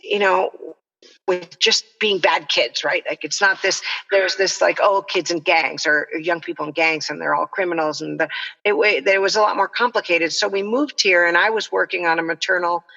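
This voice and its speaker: Middle-aged woman from el salvador chatting as a podcast guest, bright voice w/ some vocal fry, earnest, kinda muffled reverby call. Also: fairly low pitch, fairly even intonation, moderate pace. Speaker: nonprofit co-founder, podcast guest. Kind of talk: conversational interview storytelling.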